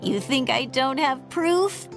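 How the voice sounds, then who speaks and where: An actress voicing a spirited, stubborn young woman in a video game, with a feisty, expressive manner. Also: high voice, takes it slowly, gravelly, exaggerated voice. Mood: teasing. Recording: music behind the voice. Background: game music.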